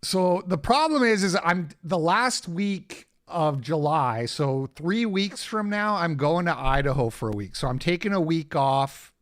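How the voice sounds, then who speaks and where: A man with a raspy voice hosting a casual livestream, rambling, sarcastic and quick to get irritated. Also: a little high, expressive, fairly bright tone. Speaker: host.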